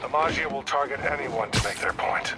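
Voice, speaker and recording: A man's voice: mid-range voice, brisk, heavy background noise, lightly acted. A man voicing an older police detective in a video game, world-weary, guarded and matter-of-fact in his talk. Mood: matter-of-fact, wry.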